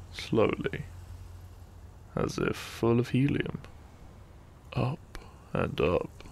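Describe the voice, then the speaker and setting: A man narrating a gentle bedtime story in ASMR style, soft, breathy and soothing, often close to a whisper. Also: medium pitch, slight background noise. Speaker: sleep-aid audio narrator.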